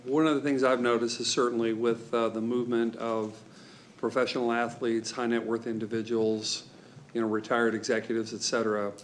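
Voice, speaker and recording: A man on the council asks an applicant questions at an even, measured pace. He sounds courteous and deliberate. Faint background noise hums under his voice. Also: on the low side. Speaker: council member.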